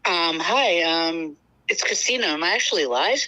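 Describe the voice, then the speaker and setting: A woman's voice: lowish voice, expressive, normal speed, bright, forceful tone, rough recording. A woman in her fifties from Boston, calling in and chatting casually with many fillers. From Boston, United States. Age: 50s. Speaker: caller. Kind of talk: casual call-in chat.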